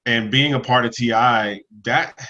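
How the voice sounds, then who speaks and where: A man's voice: mid-range voice, measured pace, pushed and bright. A man, introducing himself as a panelist on a video call. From New Jersey, USA. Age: 40s. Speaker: panelist, longtime program alumnus. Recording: dull, lo-fi video call audio.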